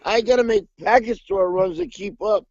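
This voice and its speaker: Drunk guy on a group call, gravelly and swoopy, dull lo-fi audio. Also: very high voice, sing-song, normal speed. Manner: loud, drunken, teasing. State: drinking. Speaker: hangout regular. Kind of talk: rowdy group video chat.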